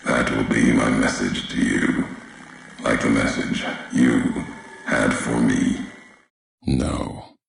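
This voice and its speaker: A grim, philosophical wanderer of a video game wasteland, with an extremely low voice full of heavy gravel. He delivers brooding, vengeful monologues very slowly, in terse and deliberate fragments that sound like quiet threats. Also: very echoey, exaggerated voice. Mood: somber, bitter. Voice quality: gravelly, very deep, breathy. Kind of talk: slow, brooding dramatic monologue.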